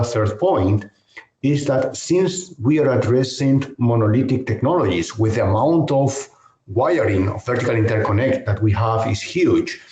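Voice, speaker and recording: Man, spanish accent, professor lecturing, mid-pitched, careful and hesitant, echoey call audio. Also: a little mellow. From Spain. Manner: measured, careful explanation with frequent hesitations. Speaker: university professor. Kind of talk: technical webinar lecture.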